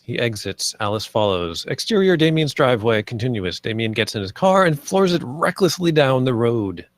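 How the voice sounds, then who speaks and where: A man's voice: mid-range voice, sing-song, normal speed. A man narrating scripted stage directions at a screenplay table read.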